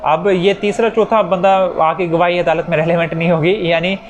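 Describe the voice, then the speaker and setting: A Pakistani man teaches law in a fairly high voice. He explains step by step with examples and slows down for English legal terms. Some background noise sits behind him. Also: steady tone, lo-fi sound. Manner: switches into English legal terms, reading statutes slowly. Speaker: law lecturer.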